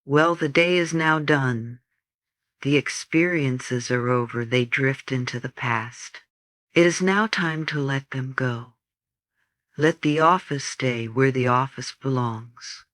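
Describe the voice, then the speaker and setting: Man gently guiding a sleep meditation, unhurried. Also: medium pitch, no room sound, studio quality. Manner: soothing, gentle, instructional. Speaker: guided meditation narrator. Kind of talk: guided sleep meditation, scripted narration.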